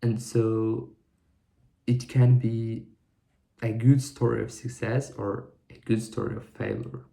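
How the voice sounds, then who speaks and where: A young man talking to camera in a reflective vlog, thinking aloud in a halting way with many restarts. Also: mid-range voice, fairly even intonation, vocal fry, mellow. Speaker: vlogger. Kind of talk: reflective solo vlog monologue.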